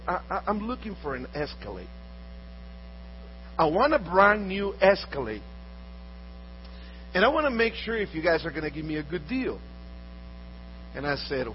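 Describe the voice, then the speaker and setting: A man from Honduras earnestly telling personal stories, often repeating words and restarting as he talks. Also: on the high side. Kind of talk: storytelling talk. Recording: dull audio with faint mains hum.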